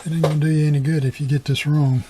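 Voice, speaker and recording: A man's voice: a little high, little pitch movement, very fast, mellow, slightly rough. A middle-aged man giving a casual hands-on tutorial, talking in a folksy, colloquial way and half muttering to himself as he works. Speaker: repair hobbyist.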